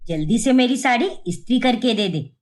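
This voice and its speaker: A woman's voice: on the high side, expressive, a little fast, lightly acted. Lady doing a bossy sneering mother-in-law for a cartoon story, middle-aged, show-off, swings up and down a lot. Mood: scornful. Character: haughty, taunting middle-aged mother-in-law with government job.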